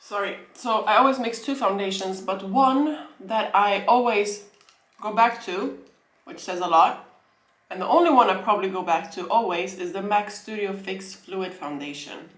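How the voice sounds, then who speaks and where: A woman's voice: medium pitch, normal speed. Young woman from Sweden, chatty vlogger, rambling delivery, echoey room at home. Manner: chatty, rambling, self-correcting. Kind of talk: casual mukbang Q&A chat.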